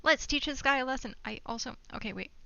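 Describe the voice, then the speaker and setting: A woman's voice: high-pitched, fast, creaky, sharp tone, smooth, dry recording. A woman streamer chatting casually in a giddy, rambling, self-deprecating way on a dull lo-fi mic. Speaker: live game streamer. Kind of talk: casual livestream chat. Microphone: budget desktop microphone.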